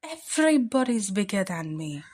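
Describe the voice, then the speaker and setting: A woman's voice: medium pitch, very animated, moderate pace, a little mellow. A woman voicing a small, anxious, wistful baby bear in a children's storybook read-aloud. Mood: worried.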